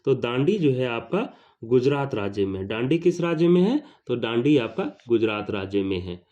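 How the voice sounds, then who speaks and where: A man with a mellow, dark voice teaches exam questions and answers. He sits at a middling pitch and repeats each point for emphasis. The recording is slightly dull and lo-fi. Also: expressive, normal speed.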